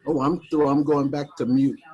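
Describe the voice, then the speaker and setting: A woman in her eighties from Harlem, New York, reflecting slowly on her life during a video call workshop, with soft laughs. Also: low voice, steady tone, slightly rough, dull audio. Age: 80s. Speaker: workshop participant.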